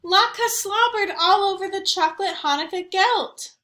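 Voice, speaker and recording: A woman voicing an excitable, affectionate young girl in a picture-book read-aloud, expressive and exclamatory. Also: very high-pitched, normal speed, echoey room, pitched above the performer's own voice. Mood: upset.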